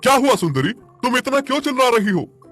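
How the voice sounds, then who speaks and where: A man's voice: very high voice, sing-song, a little fast, fairly bright tone, soft background music, pitched above the performer's own voice, exaggerated voice. A man voicing a kindly, fatherly village cattle owner in a cartoon story, in an emphatic way. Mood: alarmed.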